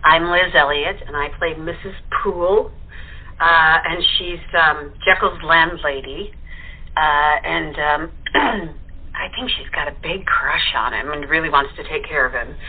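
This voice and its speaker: A woman with a husky voice chatting casually as an interview guest about her acting. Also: low voice, fairly even intonation, a little slow. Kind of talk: casual chat. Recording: tinny phone-line sound.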